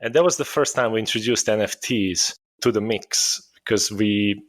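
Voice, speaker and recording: A man, a guest answering interview questions in a steady storytelling way. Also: lowish voice, normal speed. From Serbia. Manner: steady storytelling, occasional self-corrections. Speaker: guest, startup founder.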